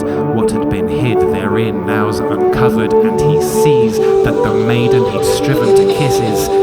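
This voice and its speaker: A man performing dramatic poetry narration in a hushed, intense, theatrical manner. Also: on the low side. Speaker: actor reciting poetry. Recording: loud music under the voice. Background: music.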